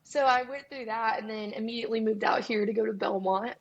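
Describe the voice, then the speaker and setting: Raspy young woman chatting candidly. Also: fairly high pitch, fairly even intonation, brisk, muffled recording. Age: 20s. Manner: candid, chatty, laughs often. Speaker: guest musician. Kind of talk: casual interview chat. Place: Nashville.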